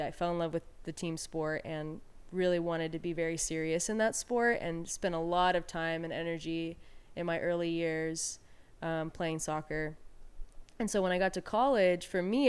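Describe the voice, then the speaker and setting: A woman in her thirties, from Peru, speaks as an interview guest. Her voice sits low, with a very clear, clean tone. She talks candidly and reflectively, often pausing on fillers and restarting sentences. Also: steady tone. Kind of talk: casual conversational interview.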